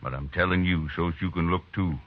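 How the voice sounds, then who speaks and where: A grim, determined rancher with a very deep voice. He talks bluntly and says little, in 1950s western radio drama style. The sound is dull and muffled. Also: steady tone, brisk, no echo. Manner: blunt, stubborn, laconic.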